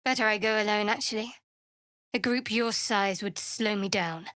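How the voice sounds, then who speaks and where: A woman playing a guarded young half-elf cleric in a fantasy video game, with a dry, sardonic, measured delivery. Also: medium pitch, a bit bright, dry recording, natural voice. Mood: reserved, earnest.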